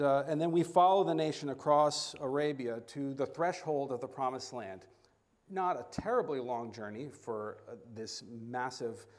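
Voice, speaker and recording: A man preaching a sermon as a guest speaker, halting and often repeating words, with self-deprecating asides. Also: medium pitch.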